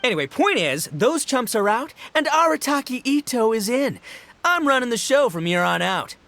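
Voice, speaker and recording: A man's voice: very high-pitched, very animated, normal speed, vocal fry, bright, forceful tone, dry recording, heavily acted. A man voicing a brash, boastful young gang boss and rocker in a video game, with cocky, boisterous, slangy delivery. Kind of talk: animated video game voice acting.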